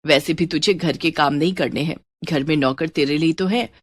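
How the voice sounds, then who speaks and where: A woman voicing a kindly middle-aged mother with a gentle, coaxing manner in a family story. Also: low voice, normal speed, dry recording, pitched below the performer's own voice. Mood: welcoming. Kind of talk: Hindi family-story dramatization.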